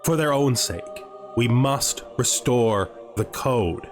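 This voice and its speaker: A man playing a proud, zealous vampire commander in a measured, grandiose game roleplay monologue. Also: mid-range voice, lively intonation. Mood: defiant resolve. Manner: solemn, grandiose, measured oratory. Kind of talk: dramatic in-character roleplay monologue. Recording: music behind the voice.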